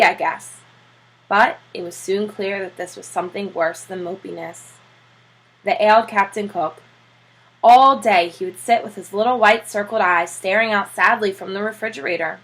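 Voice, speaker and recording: A woman narrates a children's storybook expressively. Her voice is bright and sharp-edged, at a middle pitch for a woman, with some huskiness in it. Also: low-quality recording. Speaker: teacher reading aloud.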